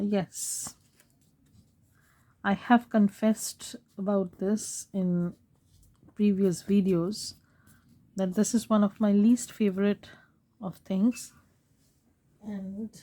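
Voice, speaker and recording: A woman hosting a craft tutorial, talking casually while she works, her voice hoarse and fading, and she keeps clearing her throat. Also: medium pitch. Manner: soft, unhurried, frequent throat clearing. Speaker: craft channel host.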